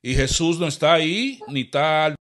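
A man's voice: fairly high pitch, lively intonation, normal speed. A man making a prank phone call, posing as an official in an insistent, accusatory way and repeating himself. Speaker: prank caller, show host. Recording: noisy recorded phone call.

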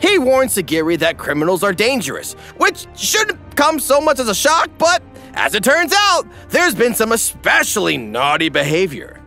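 A man narrates a comedic recap with wry, theatrical emphasis. His high, forceful voice swoops dramatically across a very wide range, with music behind it. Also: huge pitch swings, moderate pace, creaky.

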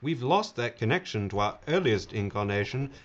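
A man's voice: mid-range voice, lively intonation, moderate pace, dull audio, lightly acted. An actor playing a smug, slick, self-important billionaire businessman giving a pompous interview. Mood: pompous, salesman-like. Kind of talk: film dialogue, mock interview.

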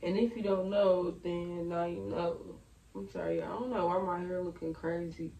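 A young woman with a slightly raspy, low voice chats through a tarot reading in a loose, slangy way. Her delivery stays fairly flat. The sound is roomy and picked up from a little way off. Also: moderate pace. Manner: chatty, slangy, thinking aloud. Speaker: tarot reader. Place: bedroom at a table. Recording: roomy, a bit far from the mic.

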